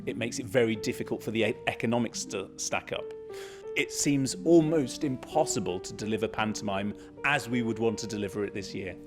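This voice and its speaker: Earnest, lower-pitched man being interviewed. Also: fairly quick, music in the background. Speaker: theatre company executive interviewee. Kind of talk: news interview answers.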